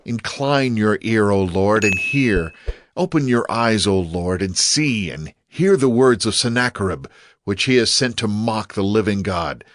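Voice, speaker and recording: Casting: devout ancient king acted by a man, low voice, solemn and imploring, dry close sound. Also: lively intonation, unhurried, fairly bright tone, no echo. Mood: solemn pleading. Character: devout, humbly pleading king of Judah at prayer. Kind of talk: dramatized scripture reading.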